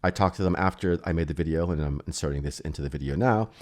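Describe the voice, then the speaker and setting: A man giving a casual software walkthrough as presenter, thinking aloud in an informal way. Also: rumbling low, quick pace. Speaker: presenter demonstrating music software.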